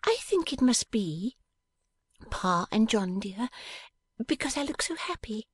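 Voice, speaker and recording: A woman's voice: sing-song, unhurried, husky, no room sound, full character voice. A woman voicing a coaxing young wife. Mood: wistful. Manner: high, light and wheedling.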